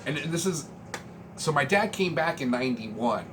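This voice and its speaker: A man's voice: a little high, expressive, normal speed. An American man in his forties chatting casually to viewers in a laid-back, slangy, meandering way. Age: 40s. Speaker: vlogger showing a music collection. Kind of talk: casual rambling chat. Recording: clearly noisy room ambience.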